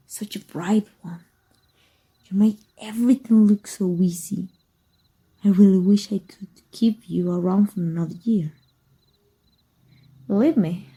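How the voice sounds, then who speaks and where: A woman from Mexico voicing a scheming former magic teacher in an ASMR roleplay, speaking softly in a teasing half-whisper. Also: medium pitch, breathy edge. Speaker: voice actor.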